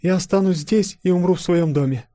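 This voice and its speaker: A man puts on a proud young princess for an audiobook drama. Her voice is high and solemn, resigned yet dignified, with a dry studio closeness. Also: fairly quick, warm tone, dry recording, natural voice. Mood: resigned, refusing.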